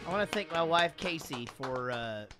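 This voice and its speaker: A man hosting a live-streamed show, chatting casually in a rambling, excitable way with plenty of swearing. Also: on the high side, normal speed, music in the background. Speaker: podcast host.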